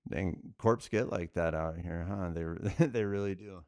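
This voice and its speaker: Male game streamer, very low clear voice, laid-back, casual, thinking out loud. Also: a little slow, no room sound, clean studio sound. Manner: laid-back, musing aloud to viewers. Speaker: live streamer. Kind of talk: casual livestream gaming chat.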